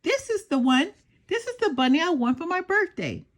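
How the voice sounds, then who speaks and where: A woman voicing an excited, affectionate little girl in a children's storybook, sweet and eager. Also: extremely high pitch, moderate pace, pitched above the performer's own voice.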